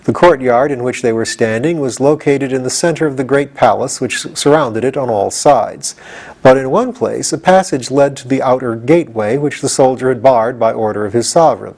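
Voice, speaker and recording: Male audiobook reader, medium pitch, expressive scripted storytelling. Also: moderate pace.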